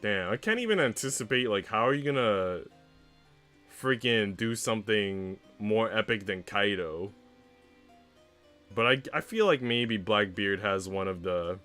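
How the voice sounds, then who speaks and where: Low-voiced man streaming, music behind. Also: animated, slow, fairly bright tone. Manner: informal, mildly sweary, slangy. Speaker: streamer reading a visual novel aloud. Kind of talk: casual live-stream chat mixed with read-aloud narration.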